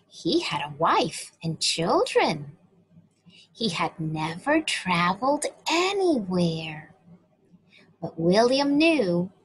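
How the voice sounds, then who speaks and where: Brief: woman storyteller for kids, very slow, lively pitch, breathy husky tone, webcam mic. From United States. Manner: slow, expressive storytelling to children. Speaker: children's story reader. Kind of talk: read-aloud for children.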